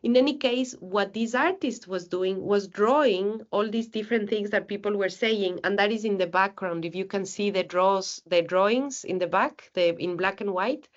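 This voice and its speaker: A woman's voice: mid-range voice, normal speed, few pauses, lo-fi sound. A woman from Ecuador giving an earnest, reflective academic seminar talk, often restarting her phrases.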